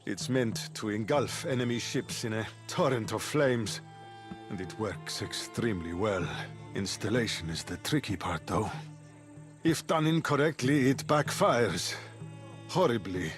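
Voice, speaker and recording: A man's voice: fairly low pitch, unhurried, over music, close to an everyday voice. An actor playing a proud, irritable male engineer of ancient Greece in a video game, dramatic and sardonic. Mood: grave, proud. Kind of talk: video game cutscene acting.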